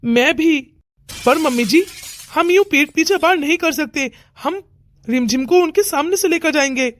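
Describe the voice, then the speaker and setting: A woman's voice: high-pitched, moderate pace, slight background noise, lightly acted. A woman voicing a loyal, assertive young husband in a melodramatic Hindi cartoon story. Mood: reassuring. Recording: music under the voice.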